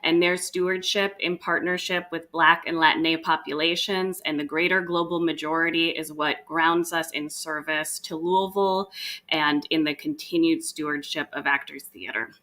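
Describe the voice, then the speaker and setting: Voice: mid-range voice, steady tone, normal speed, bright, forceful tone. An interview host opening a live online session on a video call, upbeat and enthusiastic with the odd um. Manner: enthusiastic, upbeat, occasional ums.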